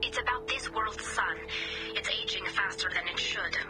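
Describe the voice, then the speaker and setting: Earnest, wistful young alien woman, game role, helmet-filtered medium-pitched voice over music. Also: normal speed, low-quality recording. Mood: earnest, focused. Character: young female alien engineer in a masked suit, earnest and wistful. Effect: helmet filter, radio comms. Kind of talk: video game dialogue.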